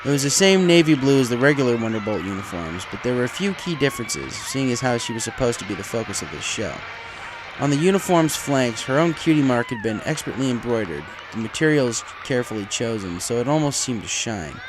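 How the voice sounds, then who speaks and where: A man's voice: medium pitch, sing-song, fairly quick, noisy background. A man reading a fan fiction story aloud as a scripted narration. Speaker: story reader. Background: music.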